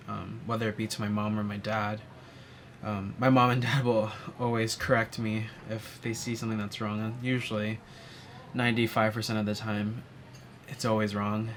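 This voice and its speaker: Soft-spoken young man, low flat voice. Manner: soft-spoken, many "um"s and restarts. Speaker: interviewee. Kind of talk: reflective personal storytelling. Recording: faint ambient noise.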